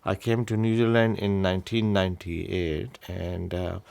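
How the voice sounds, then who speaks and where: Middle-aged guy from pakistan, low gravelly voice, keeps restarting his sentences. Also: flat delivery, a little mellow. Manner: halting, many restarts and fillers. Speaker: interviewee. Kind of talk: personal story told to camera.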